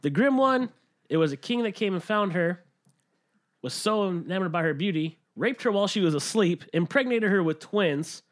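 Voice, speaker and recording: A man's voice: high voice, lively intonation, normal speed. A man co-hosting a podcast, retelling stories to friends in an informal, mildly profane way. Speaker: podcast co-host. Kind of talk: casual retelling among friends.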